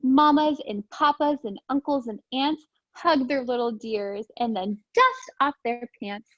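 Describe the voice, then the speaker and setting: Slow, high-voiced woman reading to children. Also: dry recording, highs cut off. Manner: sing-song, expressive, encouraging. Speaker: preschool teacher reading storytime. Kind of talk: animated read-aloud for young children.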